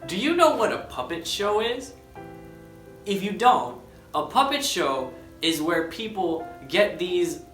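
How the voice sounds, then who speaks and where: Expressive higher-pitched man reading to kids. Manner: expressive, speaking simply to young children. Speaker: preschool story-time host. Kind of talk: children's storybook read-aloud and simple explanations. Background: background music.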